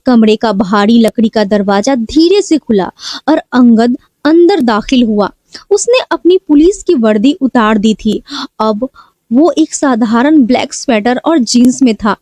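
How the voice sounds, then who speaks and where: High-voiced woman narrating a story. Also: warm tone, no echo. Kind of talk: scripted dramatic story narration.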